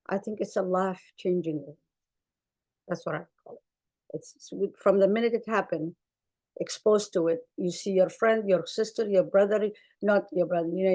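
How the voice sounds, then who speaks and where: A woman's voice: medium pitch, a little mellow. Earnest woman presenting. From Somalia. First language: Arabic. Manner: earnest, emphatic, repeats phrases for stress. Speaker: clinician presenting. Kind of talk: conference talk with slides.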